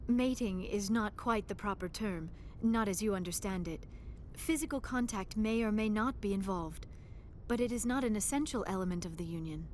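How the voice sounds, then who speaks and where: A woman's voice: mid-range voice, normal speed, a little hiss or hum, close to an everyday voice. An actress voicing a young alien woman scientist in a video game, shy and earnest, with formal, precise diction. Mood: calm explaining. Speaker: archaeologist crew member.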